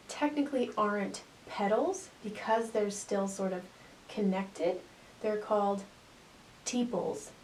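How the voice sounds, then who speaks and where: Woman gardening host, casual and playful, mid pitch. Slightly distant mic with faint hiss. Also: darkish tone. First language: not English; Swiss German is a second language. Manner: chatty, playful asides to viewers. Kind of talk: plant care explainer.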